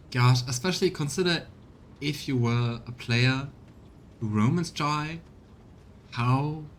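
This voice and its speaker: Man streaming game commentary, faint rumble. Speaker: let's play streamer. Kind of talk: casual game commentary, reading dialogue aloud.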